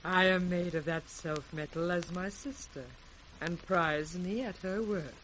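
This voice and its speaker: An actress playing a haughty, flattering royal daughter with a British accent and measured declamation in radio Shakespeare. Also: female voice, fairly low pitch, low-quality recording. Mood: gushing devotion. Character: king's second daughter, haughty, effusively flattering noblewoman. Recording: faint hiss, old radio recording.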